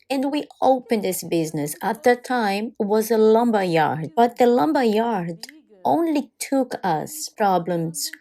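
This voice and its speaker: A woman voicing over a personal testimony in non-native English, speaking in short, deliberate phrases. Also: mid-range voice, mellow. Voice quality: non-native English with halting, irregular grammar. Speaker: voice-over translator of a church testimony. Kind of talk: dubbed first-person testimony narration.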